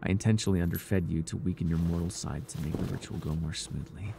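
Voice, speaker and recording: A man playing a devoted, obsessive cult servant and captor in an intimate ASMR roleplay, speaking reverently and haltingly, with sighs and stammers. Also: deep voice, fairly even intonation, creaky, heavy background noise. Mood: coaxing, apologetic.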